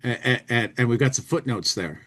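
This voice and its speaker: Animated man hosting a comic magazine read-along. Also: medium pitch, fairly quick. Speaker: podcast host. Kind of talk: casual commentary and dramatic reading of a humor magazine.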